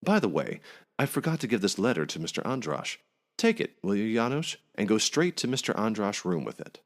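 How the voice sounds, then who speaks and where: A man's voice: fairly low pitch, sing-song, brisk, pitched above the performer's own voice. A man voicing a courteous, mild young male student in a storybook folk tale.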